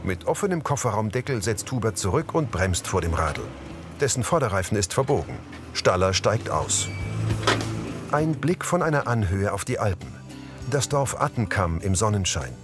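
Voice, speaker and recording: A man's voice: fairly low pitch, very animated, normal speed, over music. A man reading scripted audio description, narrating the scenes of a drama.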